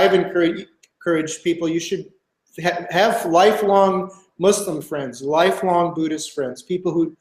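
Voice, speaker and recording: A man's voice: on the high side, roomy sound, low-quality recording. A man in his sixties from Minnesota, answering interview questions thoughtfully as a guest author, with many fillers and restarts.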